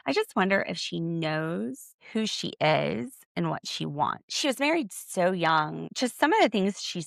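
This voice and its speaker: A young woman talks through a reality show recap in a loose, sarcastic way. Her voice crackles with heavy vocal fry. The sound is dry and close, with no room around it. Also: very animated, unhurried, no echo. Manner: chatty and sarcastic, acting out quotes in a high voice. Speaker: podcast host. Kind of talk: casual recap chat.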